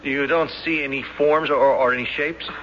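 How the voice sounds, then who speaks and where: A man playing a soft-hearted, hesitant banker in a radio drama, stammering in a mild, apologetic way. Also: on the high side, fairly even intonation, normal speed, close to an everyday voice. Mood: curious. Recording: slightly noisy, dull old radio broadcast.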